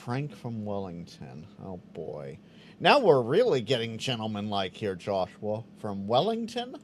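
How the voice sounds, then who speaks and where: Male streamer, easygoing chat, animated pitch, stammery restarts, faint room ambience. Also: a little slow, a little hiss or hum. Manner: chatty, stammers on restarts, sounds out Morse code. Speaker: host of a live Q&A stream. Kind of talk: casual live-stream chat reading viewer comments.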